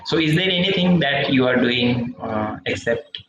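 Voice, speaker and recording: A man's voice: medium pitch, lively intonation, moderate pace. A man with an Indian English accent practicing conversation on a video call, speaking hesitantly with many fillers. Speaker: English learner. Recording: muffled echoey room with noise.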